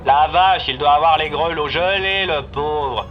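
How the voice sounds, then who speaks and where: A man's voice: on the high side, unhurried, very noisy, pitched above the performer's own voice. A man voicing a cheeky, teasing female police switchboard operator over the radio in an audio drama. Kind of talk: audio fiction character acting. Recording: muffled echoey radio sound.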